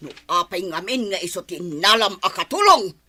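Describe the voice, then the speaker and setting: Woman acting a haughty, sharp-voiced Ilocano mistress. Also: medium pitch, expressive, normal speed. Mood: furious. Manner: sharp, scolding, imperious. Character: haughty, short-tempered rich lady of the house. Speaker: actress in an Ilocano radio comedy drama. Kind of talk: comedy radio drama acting.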